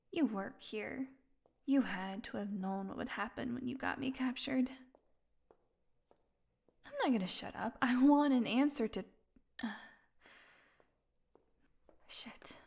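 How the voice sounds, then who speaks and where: Slow, weary, sardonic vampire woman, acted by a woman. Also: fairly high pitch, darkish tone, exaggerated voice. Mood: bitter, wry. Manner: sardonic, halting, breathy asides. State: weak, tired. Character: weakened captive female vampire, wry, teasing, wounded. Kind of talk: audio roleplay acting addressed to listener.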